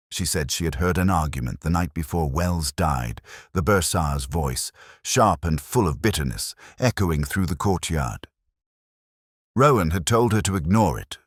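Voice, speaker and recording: A man acts out a brooding, literary police inspector telling his case in a scripted mystery drama. The voice is exceptionally deep, yet it rises and falls expressively. He is measured and reflective, full of quiet doubt, and the sound is dry and close. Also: no room sound. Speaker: narrator. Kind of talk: scripted first-person detective mystery narration.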